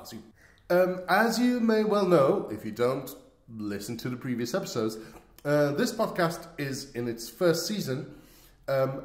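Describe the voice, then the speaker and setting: Hesitant male podcast host, roomy echo. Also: medium pitch. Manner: hesitant, searching for words, wry. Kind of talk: casual, unscripted show introduction. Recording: roomy, echoing sound.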